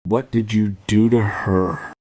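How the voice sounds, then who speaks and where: Casting: probing male detective, mellow lower register, steady and insistent interrogator for a crime radio play. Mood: stern. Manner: measured, insistent questioning.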